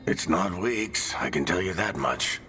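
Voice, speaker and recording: An actor playing a gruff, steady older mentor in a video game, with a gravelly, plain-spoken, wry delivery. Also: male voice, sits low, measured pace, long reverb tail, faint music behind, full character voice. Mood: grim. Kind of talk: dramatic video game acting.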